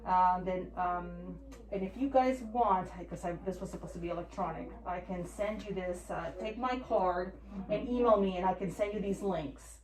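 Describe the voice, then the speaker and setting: A woman giving an informal presentation in a loose, halting way with many fillers. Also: on the low side, darkish tone, reverberant, poor audio.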